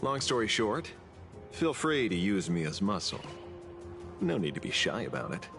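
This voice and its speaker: A roguish, worldly hired gun from a video game, low-pitched with lively swings. He teases and drawls in an easygoing, amused way, with faint music underneath. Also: normal speed, gravelly. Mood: wry. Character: roguish, amused, worldly male muscle-for-hire.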